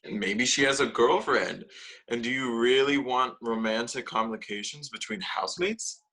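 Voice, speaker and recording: A sassy, sensible male housemate played by a man in a comedy read. His voice is bright and forward at a medium pitch, with a teasing delivery. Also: moderate pace, rough recording. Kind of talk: remote table read of a comedy web series script.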